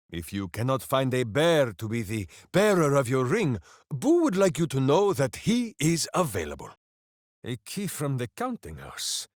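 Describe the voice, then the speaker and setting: An actor voicing a big, brawny, good-hearted and simple warrior in a video game, speaking in a theatrical, declamatory manner with grand, quaint phrasing. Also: male voice, medium pitch, a bit bright, dry recording, clean studio sound, exaggerated voice. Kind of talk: video game character voice lines.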